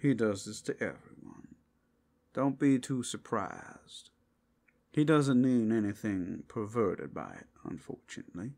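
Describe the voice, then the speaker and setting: Wry male tavern regular played by a man: gravelly, casual, dryly impatient, for an ASMR fantasy roleplay. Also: lowish voice, big pitch swings, a little slow, darkish tone, full character voice. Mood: wry, teasing. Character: wry, grudging male bar and brothel associate.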